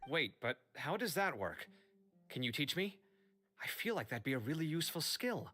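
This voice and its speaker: A man playing a fretful, expressive young scholar in a fantasy video game, with theatrical exasperation. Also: a little high, moderate pace, soft background music, exaggerated voice. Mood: curious, indignant.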